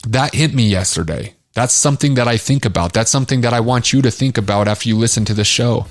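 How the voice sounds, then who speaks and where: A man's voice: mid-range voice, fast talker, dry recording, studio quality. A man hosting a motivational podcast, speaking in an emphatic, blunt and casually profane monologue. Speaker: podcast host, motivational coach.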